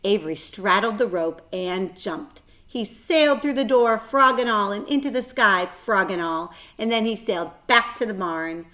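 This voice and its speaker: A woman reading a children's book aloud as a storyteller, expressive, with dramatic emphasis and shifting volume. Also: medium pitch, normal speed, hardly any pauses.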